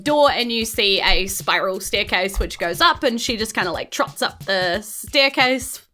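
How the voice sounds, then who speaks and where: A woman's voice: mid-range voice, lively intonation, a little slow, bright, forceful tone. A woman with a New Zealand accent narrating a tabletop game as game master in an informal, loosely descriptive way.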